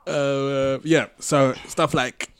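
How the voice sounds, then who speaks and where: A man's voice: medium pitch, little pitch movement, drawn-out words, a bit bright. A man co-hosting a casual podcast discussion, hesitantly thinking aloud as he talks. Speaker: podcast co-host.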